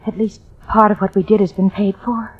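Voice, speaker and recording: An actress playing a devoted young wife in a prison cell, tender and pleading, in 1940s radio acting on an old dull recording. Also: female voice, medium pitch, flat delivery, fairly quick, breathy edge, close to an everyday voice.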